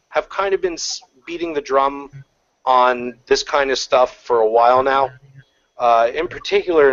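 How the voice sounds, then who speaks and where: A man talks in a loose, rambling way, full of fillers and restarts. His voice sits in a mid pitch. The sound is muffled, lo-fi online call audio. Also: no echo. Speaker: panel guest. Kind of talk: casual online panel chat. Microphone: video call.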